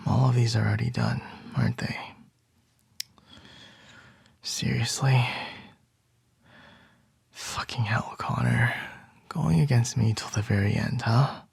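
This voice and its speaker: Man acting as slow, breathy, gravelly CEO boss. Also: medium pitch, no room sound, heavily acted. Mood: exasperated. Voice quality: soft, breathy, whispery. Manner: unhurried, murmured, gently wry. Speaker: ASMR roleplay voice actor. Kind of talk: ASMR boyfriend roleplay acting.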